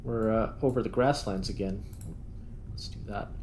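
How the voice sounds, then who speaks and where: A man's voice: fairly low pitch, moderate pace, darkish tone. A man hosting a gaming channel, giving casual gameplay commentary and thinking aloud as he plays. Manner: thinks aloud while playing. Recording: clear background noise.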